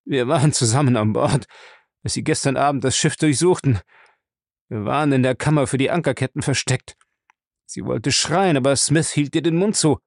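A man's voice: medium pitch, normal speed, vocal fry, dry recording, clean studio sound, pitched above the performer's own voice. A man voicing a cowardly, beaten crook, defiant and terrified, gasping in desperation in an audiobook. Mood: frightened, pleading. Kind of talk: audiobook character acting.

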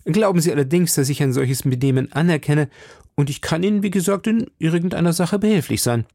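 A man voicing a brash young gallant in an audiobook, inquisitive, impulsive and briskly exclamatory. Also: fairly high pitch, warm tone, no echo, clean studio sound, pitched above the performer's own voice. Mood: surprised, courteous. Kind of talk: audiobook character dialogue.

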